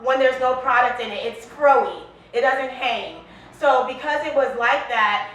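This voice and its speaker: A woman's voice: high-pitched, very echoey, distant mic, low-quality recording. A woman from Liberia, a brand founder, talking casually and animatedly about her hair products. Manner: chatty, animated, with restarts and quoted asides. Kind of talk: casual interview chat.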